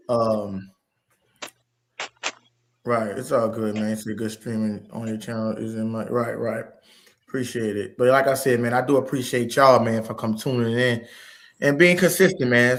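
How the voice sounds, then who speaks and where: Laid-back profane streamer. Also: male voice, mid-range voice, fairly bright tone. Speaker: stream host. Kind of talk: casual late-night livestream chat. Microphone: laptop mic.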